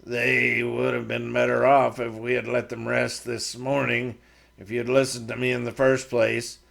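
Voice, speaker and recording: A man voicing a stubborn frontier man who talks in a slow, low, grumbling drawl. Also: monotone, gravelly, fairly bright tone, heavily acted.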